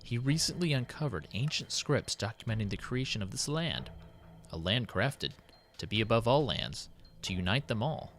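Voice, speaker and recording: A man voicing a worried, dutiful city president who dictates his diary in a formal, measured, solemn way. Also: medium pitch, very animated, fairly bright tone. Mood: solemn, intrigued. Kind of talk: dramatic in-character diary reading.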